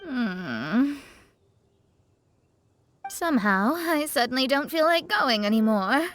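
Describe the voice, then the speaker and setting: Young female astrologer, bright, slow-paced, expressive, easily flustered, anime game. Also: high voice, soft background music, exaggerated voice. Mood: reluctant. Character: dramatic young woman astrologer mage, polite but easily flustered. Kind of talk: anime video game voice acting.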